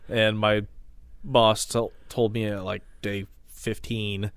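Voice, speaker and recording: Relaxed, gravelly-voiced man chatting. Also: lowish voice, slow pace, dry recording. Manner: laid-back, swears often, trails off. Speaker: podcast co-host. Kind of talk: casual chat.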